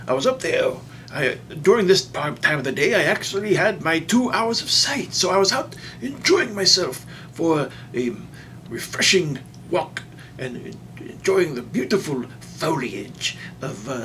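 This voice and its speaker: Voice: medium pitch, sharp tone, a little hiss or hum, heavily acted. A performer voicing a doddering, folksy old man who rambles and stammers through a comic storytime monologue. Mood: rambling, reminiscing. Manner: rambling, halting, stammering old-timer delivery. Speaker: storyteller, show host.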